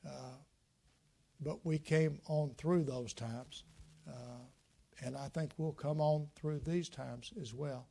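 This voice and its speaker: A man, speaking at a public talk and answering audience questions in a folksy, reflective way with many pauses. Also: a little high, gravelly, mellow. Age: 60s. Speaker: guest speaker, former state legislator. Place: lecture room with audience.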